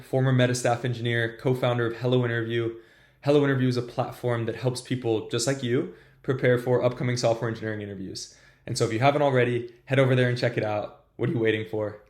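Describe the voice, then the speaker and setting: A man's voice: medium pitch, fairly even intonation, fast talker. A man hosting a tutorial, explaining system design at a whiteboard in an upbeat, casual way, his voice a little congested from a cold. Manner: upbeat, casual, addresses viewers as "you guys". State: has a cold. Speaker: tutorial host.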